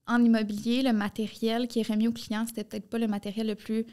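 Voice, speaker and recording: Young Québécois woman, flat higher voice. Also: quick pace, smooth. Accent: Québécois French. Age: young adult. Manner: talks in run-on bursts, frequent "tu sais". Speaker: podcast guest, marketing entrepreneur. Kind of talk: casual interview chat.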